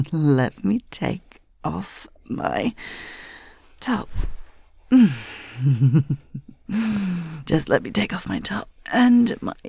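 Slow, breathy whispering woman. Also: low-pitched, dry recording. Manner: teasing, heavy whispering with soft laughs. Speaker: audio roleplay performer. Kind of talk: intimate whispered adult audio roleplay. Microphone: close mic.

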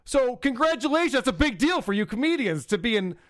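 Male host, sarcastic commentary, high animated pitch, dry recording. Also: brisk, dry recording. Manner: sarcastic, mocking. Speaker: podcast host. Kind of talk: casual podcast commentary.

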